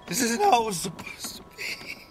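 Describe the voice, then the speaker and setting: A man's voice: very high voice, big pitch swings, normal speed, whispered, faint music behind. An actor playing a drunk, bitter, grieving man muttering to himself in an audio drama. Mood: bitter, despairing. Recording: faint background noise.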